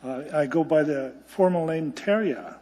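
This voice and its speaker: A man from Norway giving a hesitant, halting self-introduction at a public meeting. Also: on the high side, dark tone. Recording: reverberant chamber, off-mic.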